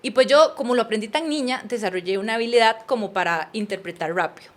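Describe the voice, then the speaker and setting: A woman in her thirties with a Costa Rican accent, a podcast guest telling her life story in an earnest, animated way. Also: on the high side, quick pace. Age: 30s. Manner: earnest, animated, addresses listener as usted. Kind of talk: personal storytelling in conversation.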